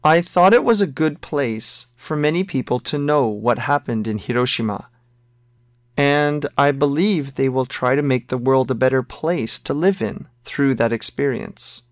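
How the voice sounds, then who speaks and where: Male reader for a language-exam recording, slow and deliberate delivery, mid-pitched, dry close sound. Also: no echo. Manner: slow, careful textbook reading. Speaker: listening test reader. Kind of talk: scripted listening exam dialogue.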